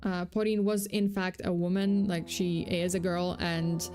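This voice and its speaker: A woman's voice: fairly low pitch, level tone, unhurried, dark tone. Young female streamer with a slight Polish accent, loose and rambling delivery, faint music underneath. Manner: chatty, full of fillers and self-corrections, reads chat aloud. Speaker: VTuber host. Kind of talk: casual true-crime livestream chat.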